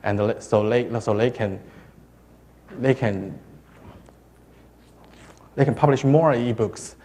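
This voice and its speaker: A man presenting a technical talk at a conference. He speaks haltingly, stops and starts over mid-phrase, and his phrasing is non-native. His voice sits at an ordinary middle pitch for a man. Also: dark tone, slight background noise. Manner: hesitant, halting, with many restarts. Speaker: conference speaker on web standards.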